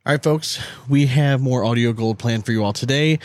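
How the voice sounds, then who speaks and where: A man's voice: medium pitch, moderate pace, a little mellow, dry recording, clean studio sound. A man co-hosting a podcast in casual, laid-back banter, sometimes drawing out his phrases. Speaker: podcast co-host.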